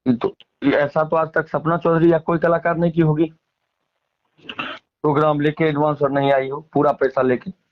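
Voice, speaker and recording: A man's voice: on the high side, flat delivery, moderate pace, pauses often, highs cut off. A man with a Bihari accent speaking casually as an interviewee, emphatically airing grievances in colloquial, repetitive phrasing. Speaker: interviewee, singer and stage performer.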